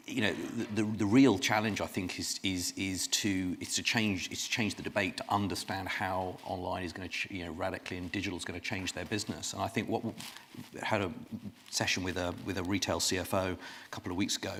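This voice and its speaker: A man's voice: low voice, a bit bright, slight background noise. A man speaking as a panelist in an emphatic way, with frequent restarts. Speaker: panelist, adviser to companies. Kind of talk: panel discussion.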